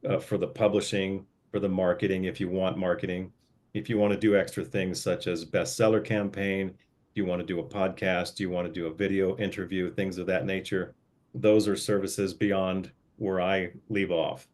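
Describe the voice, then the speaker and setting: A man on a group call, calmly explaining the book editing and layout services he offers. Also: low voice, moderate pace. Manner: easygoing, explains step by step with fillers. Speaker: book editor and layout guide. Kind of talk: casual group video call.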